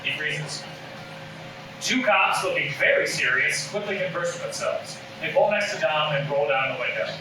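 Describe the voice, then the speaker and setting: A man's voice: a little high, animated, normal speed, over music. A man reading scripted stage directions as narrator at a table read. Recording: echoey room, distant mic, noisy.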